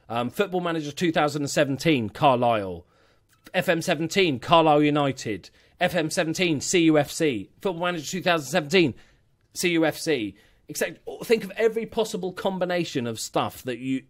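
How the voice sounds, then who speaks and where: A man giving a casual, rambling critique of a gaming channel as a YouTube coach, often correcting himself mid-sentence. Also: fairly high pitch. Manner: rambling, self-correcting, chatty. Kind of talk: casual screen-share critique.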